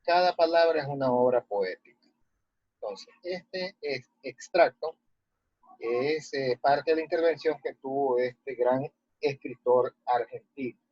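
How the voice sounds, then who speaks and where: A man with a Latin American Spanish accent and a husky voice, giving a didactic literature lesson as a teacher and reading texts aloud. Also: mid-range voice, unhurried, dry recording. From Ecuador. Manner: didactic, checking with rhetorical questions. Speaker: high school language and literature teacher. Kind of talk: online lesson, explaining and reading a text aloud. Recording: muffled lo-fi audio, very dry.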